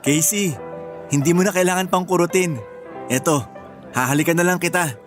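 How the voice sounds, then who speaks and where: Casting: a man to play an earnest, loving young Filipino husband, higher male register, radio drama with music. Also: moderate pace, lightly acted. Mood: tender. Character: devoted young groom, tender, earnest and reassuring. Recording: music bed behind the voice.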